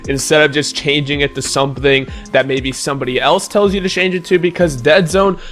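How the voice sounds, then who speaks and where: A man who is slightly hoarse gives a casual gaming tutorial, speaking straight to his viewers and often saying "like". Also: a little high, steady tone, a little fast, fairly bright tone, soft background music. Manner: casual, talks straight to viewers, many "like" fillers. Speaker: gaming YouTuber.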